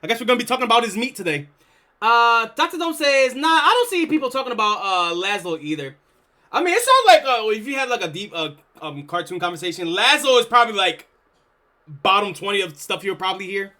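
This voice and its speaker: A young man streaming, reacting casually to a video, excitable and swearing freely. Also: high-pitched, fairly quick. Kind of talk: live reaction stream chat.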